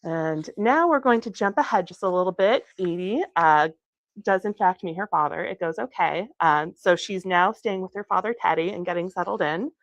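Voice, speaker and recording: Low-voiced woman reading a novel expressively. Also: moderate pace, no room sound, rough recording. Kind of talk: expressive audiobook-style reading. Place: bookstore reading.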